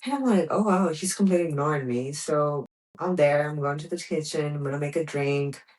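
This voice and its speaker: A woman's voice: low voice, a little fast, a little mellow. A young woman from Greece, hosting a podcast and telling a personal story in a candid, emphatic way, repeating words for stress. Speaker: podcast host.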